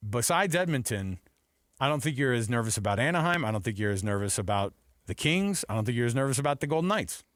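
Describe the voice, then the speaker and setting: A man's voice: mid-range voice, expressive, fast, a bit bright, no room sound, studio quality. A man with an American accent co-hosting a sports radio show, analysing in an unscripted, emphatic, conversational way and repeating phrases for emphasis.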